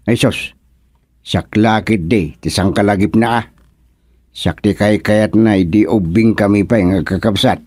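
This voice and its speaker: A guy doing a laid-back old village husband, talks real slow, grunts and chuckles, dry sound. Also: lowish voice, a little mellow, breathy edge, dry recording. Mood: drawling. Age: older. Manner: drawling, chuckling, lots of grunts and hums. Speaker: lead in a comic radio serial. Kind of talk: comic radio drama acting.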